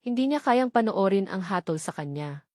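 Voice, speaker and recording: A woman's voice: mid-range voice, animated, normal speed, darkish tone, dry recording, clean studio sound. A woman reading a scripted romance story as a dramatic audiobook narrator.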